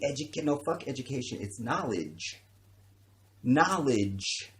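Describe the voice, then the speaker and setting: A man's voice: fairly high pitch, slight background noise. Preachy man lecturing on livestream. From born in Chicago, raised around the US. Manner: preachy, emphatic, profane, keeps asking "you understand?". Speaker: livestream host. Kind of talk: unscripted livestream monologue.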